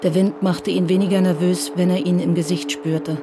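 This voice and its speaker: A woman's voice: on the low side, level tone, moderate pace, over music. A woman reading scripted literary narration for a German radio crime drama.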